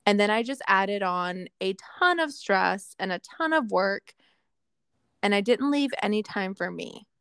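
A woman's voice: medium pitch, animated, measured pace, a bit bright, clean tone, no echo, clean studio sound. A woman in her late thirties chatting openly and with self-deprecating humor, with lots of fillers. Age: 30s. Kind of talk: casual chat.

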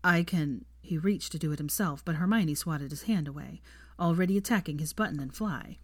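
A woman narrates a piece of fiction in an expressive audiobook manner. Her voice sits slightly low. The recording is close and dry, with very little room around it. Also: a little fast, dry recording.

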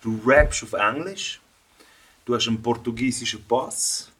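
A middle-aged man with a Swiss German accent leads a casual interview. He talks in a loose, slangy way and fills his sentences with little asides. His voice sits at an ordinary pitch for a man. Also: a little slow, fairly bright tone, slightly rough. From Switzerland. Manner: loose, slangy, filler-heavy.

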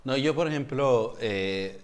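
A man's voice: mid-range voice, slow. A middle-aged man from Mexico City with a Mexican accent, hosting a casual live-stream chat and teasing his guest, with lots of fillers. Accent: Mexican Spanish. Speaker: host.

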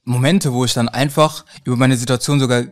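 A man's voice: medium pitch, fairly even intonation, brisk, no room sound, studio quality. A young man chatting casually and frankly about his own experiences in colloquial speech. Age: young adult. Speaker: co-founder and co-host. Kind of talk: casual conversational storytelling.

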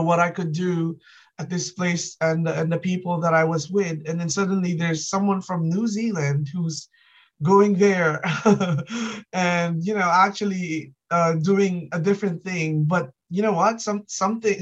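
A man's voice: high-pitched, lo-fi sound. A man from the Philippines with a Filipino accent, co-hosting a casual podcast chat and talking in a reflective way with lots of fillers. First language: Ilocano. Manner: many fillers, relaxed and reflective. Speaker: podcast co-host.

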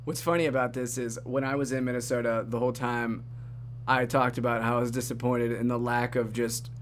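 A man's voice: medium pitch, level tone, brisk, slight background noise. Upbeat chatty male podcast host. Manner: upbeat, chatty, laughs often. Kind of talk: casual chat.

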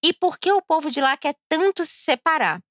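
Uma mulher de Brasília falando de forma casual e cheia de rodeios num vlog, um pouco alegre depois de beber. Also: female voice, high voice, very animated, brisk, a bit bright, no room sound, studio quality. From Brasília, Brazil. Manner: chatty, digressive, with frequent fillers and restarts. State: a little tipsy from drinking. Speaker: vlogger.

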